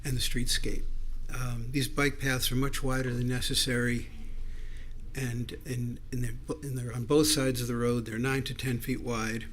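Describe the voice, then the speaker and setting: A man speaking in a measured, formal and critical way as a resident giving prepared public comment at a meeting. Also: mid-range voice, flat delivery, fairly bright tone. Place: indoor city commission meeting room. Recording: noisy meeting-room microphone recording.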